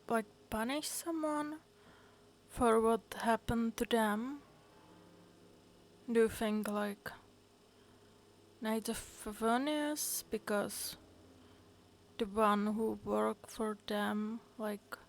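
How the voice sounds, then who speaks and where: A woman streamer musing aloud over a game, halting and full of fillers. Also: fairly high pitch, fairly even intonation, vocal fry, a little hiss or hum. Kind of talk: live game commentary.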